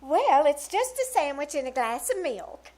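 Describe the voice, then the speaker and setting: A woman playing a flirtatious, coyly helpless widow who gushes and flatters in a 1940s radio comedy style. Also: high voice, moderate pace. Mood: sweet, fussing. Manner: gushing, coy, wheedling. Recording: live theater stage performance.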